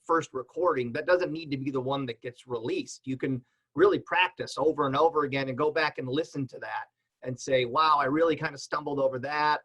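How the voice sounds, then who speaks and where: Higher-pitched man giving casual advice. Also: a bit bright, low-quality recording. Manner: encouraging, informal.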